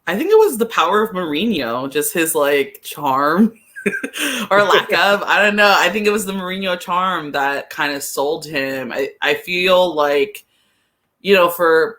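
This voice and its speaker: A podcast guest giving casual soccer commentary at a middling pitch. The delivery is conversational and a little hesitant, working thoughts out aloud with plenty of "like" along the way. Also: bright, forceful tone. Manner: hesitant, thinking aloud, frequent use of "like".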